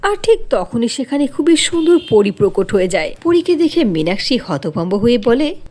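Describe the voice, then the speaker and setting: A woman narrates a cartoon tale as storyteller. Her voice is fairly high and very animated in pitch, in a scripted storytelling style. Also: normal speed.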